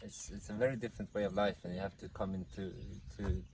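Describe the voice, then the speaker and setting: A man with a low voice, speaking hesitantly and repeating his words. He is heard from some distance outdoors. A faint hiss runs underneath. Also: steady tone, quick pace, darkish tone. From Sweden. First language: Swedish. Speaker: guest, self-builder. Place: outdoors at a building site.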